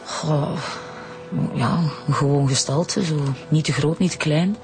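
An actress playing a shaken, evasive wife who recounts her abduction haltingly in a Flemish accent, in a radio drama. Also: deep voice. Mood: shaken, hesitant. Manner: hesitant, halting, repeating words. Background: music.

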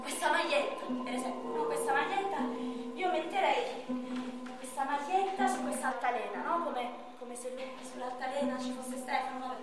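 Female stage performer, high voice, halting repetitive monologue, very reverberant and distant sound. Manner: halting, repeats words and phrases. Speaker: stage actress and narrator. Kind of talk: theatrical monologue describing an imagined staging. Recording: very echoey, distant, loud music behind. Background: loud music.

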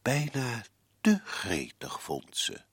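A man's voice: mid-range voice, huge pitch swings, takes it slowly, husky, no echo, studio quality. A man narrating a scripted radio drama in a dramatic storytelling manner.

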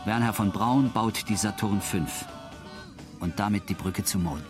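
A man's voice: lowish voice, a little slow. A man narrating a scripted radio documentary in short, punchy sentences. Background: instrumental music.

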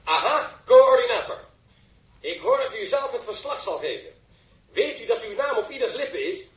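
An effusive male broadcast announcer in a 1960s radio play. His voice is extremely high for a man and rings in a roomy echo. He is slick and flattering, with a grandiose, showy delivery. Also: normal speed. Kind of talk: 1960s Dutch radio drama acting. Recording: roomy, echoing radio drama sound.

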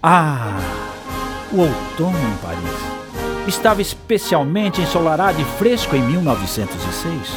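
A man playing a grandiose, excitable narrator of a historic event in a dramatic, celebratory radio style. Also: fairly high pitch, measured pace. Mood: grand. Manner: pompous, celebratory. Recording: loud music behind the narration.

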